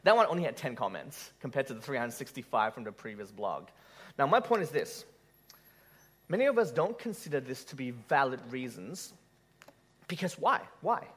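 A man's voice: medium pitch, very animated, normal speed, fairly bright tone, slightly rough. A man who grew up in Malaysia, preaching a conversational sermon to a live audience, joking and putting questions to the room.

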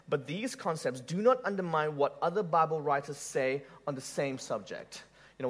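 A man who grew up in Malaysia delivers a sermon in a church hall, speaking in a fairly high voice. His pitch swings widely as he jokes and throws questions at the congregation. The tone stays conversational rather than formal. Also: fairly bright tone. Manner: conversational, joking, asks the audience questions. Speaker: preacher. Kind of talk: sermon with audience interaction.

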